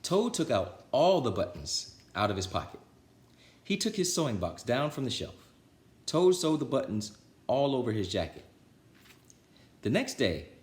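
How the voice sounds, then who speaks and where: A man's voice: mid-range voice, very animated, measured pace, pauses often, darkish tone, roomy sound. A man reading a children's storybook aloud as a storytime narrator, with some casual chat to listeners. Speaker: storytime reader.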